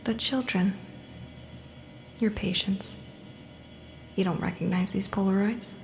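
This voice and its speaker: An actress playing a vengeful, coldly controlled female patient in a psychological horror audio drama, mocking and deliberate, often dropping into breathy murmurs. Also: medium pitch, steady tone, faint music behind, poor audio. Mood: taunting.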